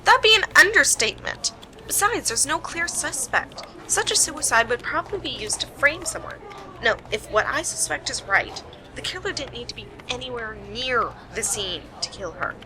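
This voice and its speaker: A woman playing a sarcastic, bossy detective in an amateur radio play, with a wry, brisk delivery. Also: high-pitched, sing-song. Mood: sardonic. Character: sharp, sarcastic female detective leading a murder case. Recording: noisy amateur home recording.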